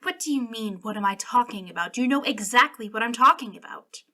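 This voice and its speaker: A woman's voice: a little high, expressive, pushed and bright. A woman playing an arrogant, jealous young princess in a dramatic ASMR roleplay, imperious but wounded and emotional. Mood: angry, accusing. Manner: imperious, emotional, halting when hurt. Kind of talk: ASMR roleplay, dramatic one-sided dialogue.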